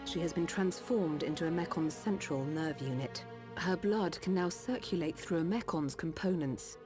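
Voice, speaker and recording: Female game doctor played by an actress, calm and dutiful, lower register, prominent music underneath. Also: normal speed, lightly acted. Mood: grave, clinical. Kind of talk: video game cutscene voice acting.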